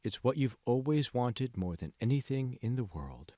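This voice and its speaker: Man voicing a slow, hushed, manipulative mentor. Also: medium pitch, very animated, dry recording, studio quality, close to an everyday voice. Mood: smooth, probing. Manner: slow, deliberate, probing questions, hushed. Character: calm, manipulative male mentor, slyly seductive and condescending. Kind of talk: dramatic audiobook dialogue.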